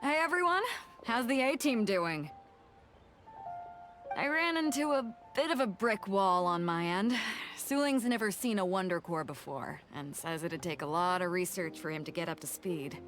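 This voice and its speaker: An actress playing a confident, warm-hearted ship captain in a video game, casual and friendly. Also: female voice, medium pitch, expressive, normal speed, faint music behind, close to an everyday voice.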